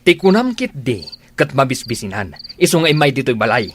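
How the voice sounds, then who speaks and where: A man's voice: mid-range voice, very animated, a little fast, slight background noise, natural voice. A man acting a domineering, suspicious husband in a radio drama, contemptuous and boastful. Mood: contemptuous, angry.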